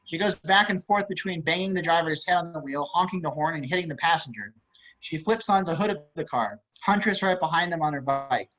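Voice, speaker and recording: A man's voice: on the high side, brisk, pushed and bright. A man reading scripted action narration and stage directions over a video call. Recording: dull video-call audio.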